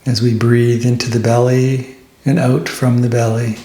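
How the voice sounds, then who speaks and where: A man leading a guided meditation as a teacher, speaking gently and unhurriedly, with pauses between phrases. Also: medium pitch, little pitch movement, roomy sound.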